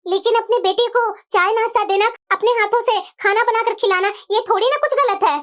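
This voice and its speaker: Very squeaky high-pitched possessive cartoon mother-in-law played by a woman. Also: brisk. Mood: worried, possessive. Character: possessive, stubborn middle-aged Indian mother-in-law. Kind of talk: Hindi family moral-story cartoon dubbing.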